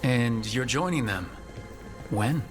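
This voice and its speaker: An actor playing a sceptical young adventurer hero in a video game, with a casual, wry, questioning manner. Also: male voice, mid-range voice, animated, takes it slowly. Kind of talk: conversational video game dialogue. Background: game music.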